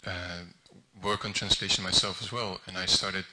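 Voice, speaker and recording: A young man, his tone bright and edgy. He sits a touch low in pitch and talks haltingly, full of ums and self-corrections, as though thinking aloud in front of a room. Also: little pitch movement, rough recording. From Netherlands. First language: Dutch. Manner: hesitant, many ums and restarts. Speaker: volunteer translator speaking at an event. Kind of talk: informal public talk.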